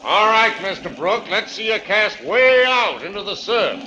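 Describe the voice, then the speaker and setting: High, hearty old fisherman. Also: big pitch swings, measured pace. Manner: folksy, hearty, loud. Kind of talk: 1940s radio drama acting. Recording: muffled, noisy old 1940s radio broadcast.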